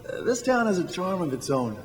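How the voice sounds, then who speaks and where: A high-voiced, earnest everyman played by an actor stumbles over and repeats his words. He is warm-hearted and anxious in radio drama style, heard in a hall with long reverb. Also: sing-song, brisk. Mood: earnest, kindly. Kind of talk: stage radio drama acting. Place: large church hall.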